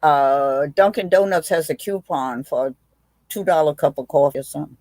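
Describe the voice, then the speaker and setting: A woman's voice: low voice, moderate pace, darkish tone, no room sound. A woman, a witty, blunt guest telling stories in a casual video chat, often restarting her sentences. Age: 80s. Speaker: guest, aunt.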